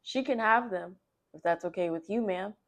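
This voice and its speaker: A woman's voice: medium pitch, moderate pace, lightly acted. Woman voicing a polite, grateful, kindly man. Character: grateful, polite, kind man at a food pantry.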